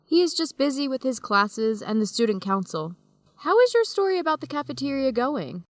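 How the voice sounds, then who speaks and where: Friendly young American woman, teasing, slightly high voice, close dry sound, clear radio drama delivery. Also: very animated, normal speed, dry recording. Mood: playful, reassuring. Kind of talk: scripted educational radio drama acting.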